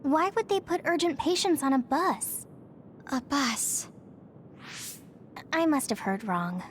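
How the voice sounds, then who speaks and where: A woman playing a soft-spoken, hesitant young psychic soldier in an anime-style game. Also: high voice, sing-song, slow, a little hiss or hum, full character voice. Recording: music under the dialogue.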